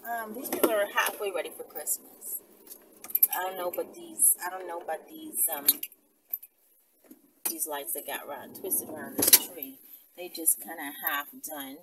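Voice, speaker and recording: A woman's voice: low-pitched, measured pace, far from the mic, very noisy. A woman hosting a casual, rambling monologue for her followers, talking in a bossy, playful, slangy way. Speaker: host addressing followers. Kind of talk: casual, rambling monologue giving instructions to listeners.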